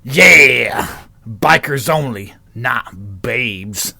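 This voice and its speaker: A man playing a gruff, sneering biker in an exaggerated, hammy comedy-script performance. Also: mid-range voice, expressive, drawn-out words, pushed and bright, exaggerated voice. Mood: loud, brash.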